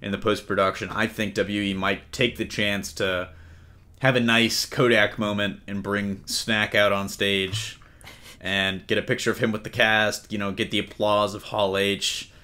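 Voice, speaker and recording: A man's voice: lowish voice, little pitch movement, unhurried, bright, forceful tone. A man hosting a casual entertainment news discussion in a conversational way, putting questions to his co-hosts. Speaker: podcast host.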